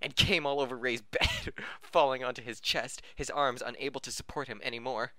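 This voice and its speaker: Bright-voiced man reading aloud, close and dry. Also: mid-range voice, huge pitch swings, normal speed, dry recording. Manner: breaks off to laugh, joke and correct misreadings. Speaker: podcast host reading fan fiction aloud. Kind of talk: amateur story reading with joking asides.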